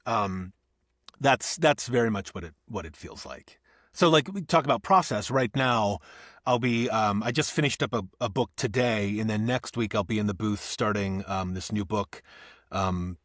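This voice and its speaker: A man's voice: on the low side, no room sound. Reflective man in a dry booth. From Northeast Ohio. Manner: reflective, thinks aloud with restarts and soft laughs. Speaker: actor and audiobook narrator, guest. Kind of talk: conversational podcast interview.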